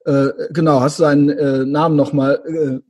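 A man hosts a relaxed interview in colloquial speech, agreeing quickly and finishing his guest's thoughts. His voice sits a little high and sounds husky. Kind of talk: casual conversational interview.

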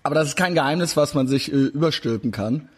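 A man's voice: fairly high pitch, quick pace, slight background noise. A man in his forties interviewing a guest in a casual chat, rambling with many fillers and restarts. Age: 40s. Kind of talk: casual interview over video call.

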